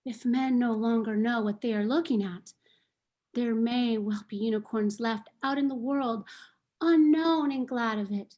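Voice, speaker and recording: A woman voicing a proud, sorrowful female unicorn in a table read of a stage play, speaking in a slow, earnest, questioning way. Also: fairly high pitch, warm tone, lo-fi sound, close to an everyday voice. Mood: bewildered.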